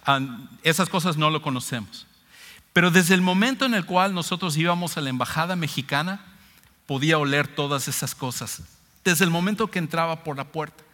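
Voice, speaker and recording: A man's voice: on the high side, sharp tone. A man from Ecuador with a Latin American Spanish accent preaching an expository sermon, emphatic and repeating key phrases. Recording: long hall reverb.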